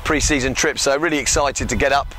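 A man in his thirties, a rugby coach, giving an earnest, halting post-match interview outdoors at a rugby ground. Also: mid-range voice. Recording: loud wind noise outdoors.

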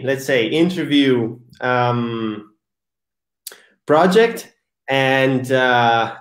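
A man with an Italian accent hosts a live online chat, speaking slowly and pausing often on fillers as he searches for English words. His voice sits at an average pitch and rings in an echoey room. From Italy. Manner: slow, hesitant, with many fillers. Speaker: host.

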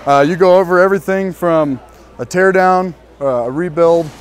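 A young man from northern Colorado, a student casually showing visitors around a large diesel workshop, pausing often with ums and restarts. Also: high voice. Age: young adult. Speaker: student giving a shop tour. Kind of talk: walking tour guide talk.

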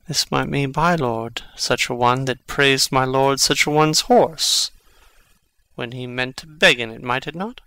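Young tragic prince acted by a man, Shakespeare audio drama, wry and theatrical, bright forward tone, dry recording. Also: medium pitch, dry recording, full character voice. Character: brooding, sardonic young Danish prince.

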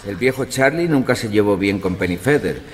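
Actor as an animated, clever gentleman witness. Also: lowish voice, faint music behind, lightly acted. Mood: earnest, indignant. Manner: formal, measured storytelling. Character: clever, self-assured gentleman witness explaining his scheme. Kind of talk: audio drama acting, narrating.

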